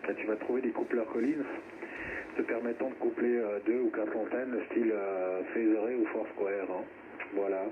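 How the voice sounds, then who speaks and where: Low-voiced man on noisy ham radio. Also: fairly even intonation, normal speed. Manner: hesitant, explanatory, spelling out in phonetic alphabet. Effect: radio transmission. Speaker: amateur radio operator. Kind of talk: technical chat over ham radio. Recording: tinny band-limited shortwave radio, loud static.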